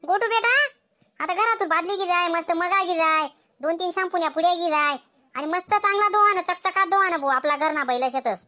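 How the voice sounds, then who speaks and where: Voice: very high-pitched, a little fast, bright, forceful tone. A performer voicing a proud, bossy village mother in a comedy cartoon, giving insistent instructions in an Ahirani accent.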